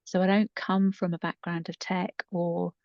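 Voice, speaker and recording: Low-voiced middle-aged woman, muffled and roomy. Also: level tone, normal speed, darkish tone. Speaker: podcast co-host and coach. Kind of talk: casual conversational storytelling. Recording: muffled, roomy echoing recording.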